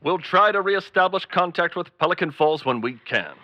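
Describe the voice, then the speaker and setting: A man's voice: high-pitched, expressive, normal speed, loud music behind the voice. A man playing a solemn news announcer in a mock-serious retro radio drama, formal and declamatory. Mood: grave. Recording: dull, noisy radio-drama sound.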